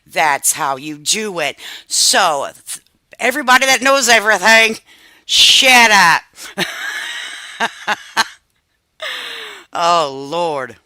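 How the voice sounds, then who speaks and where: A woman hosting a reaction commentary channel, pausing another video to talk over it in a chatty way, scolding commenters and laughing at herself. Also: lowish voice, expressive, sharp tone, no room sound.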